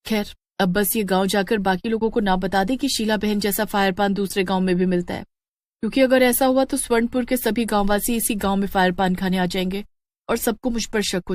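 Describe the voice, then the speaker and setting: A woman's voice: medium pitch, steady tone, brisk, dry recording, clean studio sound, close to an everyday voice. A woman voicing a devoted, determined witch who is a young mother in a cartoon story. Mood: worried. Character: devoted witch daughter-in-law, brave young mother in disguise.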